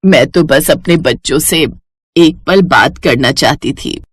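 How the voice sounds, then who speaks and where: A woman's voice: sits low, a little slow, slightly rough, no room sound, clean studio sound, voice pushed down. A woman voicing a meek, put-upon elderly mother-in-law in a cartoon story, plaintive and subdued. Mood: hurt.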